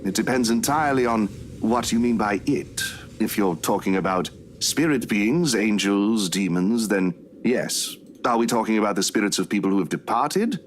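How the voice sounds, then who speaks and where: An actor playing a courteous, youthful priest in a visual novel, speaking formally and gently preaching. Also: male voice, fairly low pitch, animated, moderate pace, a little hiss or hum, natural voice. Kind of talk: scripted visual novel voice acting.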